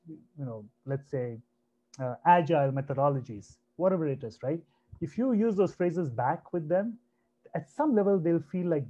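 A man in his thirties gives an online career talk with a naturally soft, slightly raised voice. He speaks conversationally, restarts his phrases and often checks in with the listener. The sound is dull and lo-fi, like a computer mic on a video call. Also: fairly high pitch. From India. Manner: conversational, repeats himself, asks "right?" often. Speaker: webinar presenter. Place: home room on a video call. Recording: dull lo-fi webinar audio.

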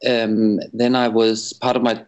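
A man from Denmark, a psychiatrist and researcher, explaining his background in an interview in a measured way with some restarts. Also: lowish voice, level tone, a little mellow, lo-fi sound. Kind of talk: interview answer.

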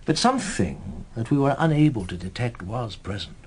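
An actor playing a measured, persuasive intelligence officer in a British radio drama, speaking formally and deliberately with a British accent. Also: male voice, medium pitch, big pitch swings, darkish tone, husky. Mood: grave, ominous.

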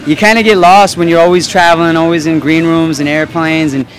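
A man's voice: fairly high pitch, fairly even intonation, fairly quick, rough recording. A young man from Los Angeles, a musician guest answering at an indoor event on a handheld mic, laid-back and rambling. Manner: laid-back, rambling, many fillers and restarts. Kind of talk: casual interview talk.

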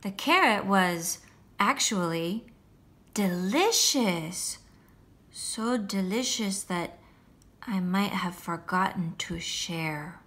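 A woman voicing a boastful, sweet little French snail in a picture book, theatrical and deliberate, talking straight to a child. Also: medium pitch, lively intonation, fairly bright tone. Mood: sheepish. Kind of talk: picture book read-aloud.

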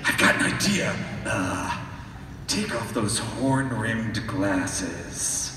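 An actor playing a blustering, bossy schemer with a theatrical, exclamatory manner in a live old-time radio drama. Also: male voice, fairly low pitch, expressive, extremely slow, pushed and bright, heavily acted. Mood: coaxing, amused. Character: blustering, bossy man scheming to disguise his friend. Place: large convention hall stage with audience. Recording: echoey hall, far-off audience camera, noisy.

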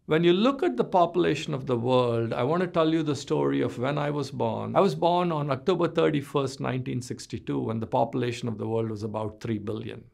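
A man in his sixties delivers a recorded business lecture, his voice gravelly and sitting at an ordinary middle pitch. He explains at a measured pace, letting the ends of his phrases drop away. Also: darkish tone. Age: 60s. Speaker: business professor. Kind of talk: recorded lecture over slides.

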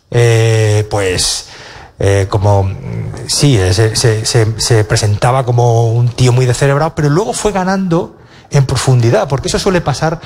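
A man's voice: mid-range voice, darkish tone. Male comic, 40s, panel guest, hesitant and wry, handheld mic on stage. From Castilla-La Mancha, Spain. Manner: halting, many restarts and fillers, wry self-mocking. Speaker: comedian on a panel. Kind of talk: panel discussion. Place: stage of a cultural centre auditorium.

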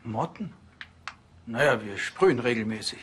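A man's voice: mid-range voice, animated, normal speed, fairly bright tone, a little hiss or hum, lightly acted. Polite, flustered male museum director played by an actor. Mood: polite, resigned. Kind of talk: German TV crime comedy acting.

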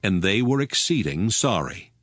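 A man's voice: lowish voice, very animated, normal speed. A man narrating a scripted King James Bible reading. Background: music.

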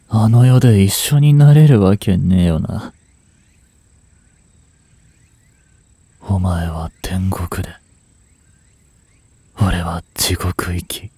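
A man in his twenties playing a remorseful, grieving young hitman in a voice drama, breathy and anguished. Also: sits low, mellow, no echo, exaggerated voice. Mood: tearful remorse. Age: 20s. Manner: anguished, halting, intimate. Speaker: voice actor. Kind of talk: Japanese voice drama monologue, emotional acting.

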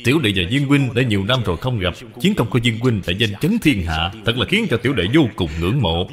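A man's voice: mid-range voice, very animated, quick pace, natural voice. A man voicing a humble, dignified father, formal and instructive, in a cartoon. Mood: humbly courteous.

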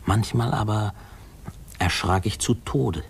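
An actor playing a man who recalls his fearful boyhood, narrating a 1950s German radio play in a hushed, halting, husky voice. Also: fairly low pitch, a little hiss or hum. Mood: reflective, uneasy.

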